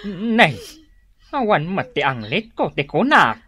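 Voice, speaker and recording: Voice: medium pitch, sing-song, slow, bright, forceful tone, exaggerated voice. An actor playing an indignant older male tricycle driver in an Ilocano radio drama comedy, protesting emphatically. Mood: puzzled, indignant.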